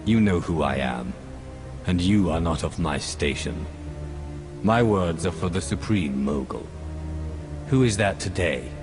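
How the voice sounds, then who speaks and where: An actor playing a commanding, cold Sith lord in a video game, curt and authoritative. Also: male voice, low voice, lively intonation, a little slow, long pauses, music in the background. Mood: haughty. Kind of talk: video game cutscene acting.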